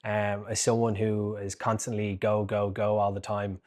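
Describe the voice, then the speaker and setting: Guy in his mid twenties, low voice, pretty flat, lots of uh's while he thinks. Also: moderate pace. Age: 20s. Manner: reflective, with frequent "uh" fillers. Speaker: graduate student. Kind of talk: student testimonial.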